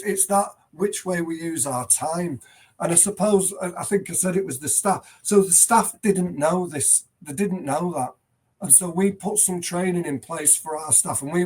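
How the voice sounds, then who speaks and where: Husky-voiced man, earnest and emphatic. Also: fairly high pitch. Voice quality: loud voice. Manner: earnest, emphatic, frequent restarts. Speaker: headteacher, podcast guest. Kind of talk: conversational interview.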